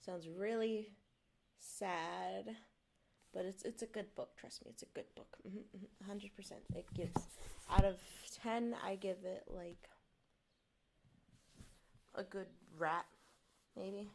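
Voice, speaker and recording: A woman's voice: medium pitch, steady tone, takes it slowly, gravelly, pauses often, darkish tone, slight background noise. A woman who is not a native English speaker, chatting apologetically on a stream and reading a book aloud. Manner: apologetic, rambling, self-deprecating. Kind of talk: casual stream chat and read-aloud narration.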